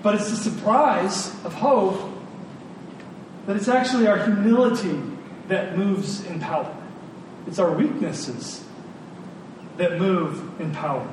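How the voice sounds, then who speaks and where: A high-pitched man delivers an informal, earnest sermon. He repeats phrases for emphasis in a conversational way. The sound is heavily reverberant and picked up from a distance, with clear noise. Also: takes it slowly, long pauses. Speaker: preacher. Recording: very echoey room, distant mic, clear noise.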